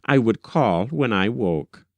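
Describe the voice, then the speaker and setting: A man reading a first-person short story as scripted literary narration, with measured, deliberate delivery. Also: lowish voice, expressive, dry recording. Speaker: audiobook reader.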